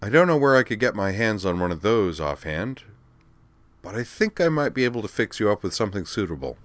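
A man voicing a middle-aged father and ship captain in an audiobook, easygoing and faintly amused, turning brisk when giving orders. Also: on the low side, very animated, dry recording, pitched above the performer's own voice. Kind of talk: audiobook character voice.

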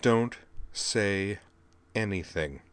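A man voicing an exasperated, sarcastic young woman, his voice lifted in pitch. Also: extremely slow, smooth, no echo. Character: exasperated young woman, sharp-tongued tech worker.

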